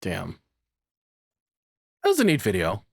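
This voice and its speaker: A man's voice: low voice, huge pitch swings, takes it slowly, creaky, breathy edge, no echo, studio quality, soft background music. A man hosting a reaction video, giving off-the-cuff commentary with rambling, jokey, slangy asides.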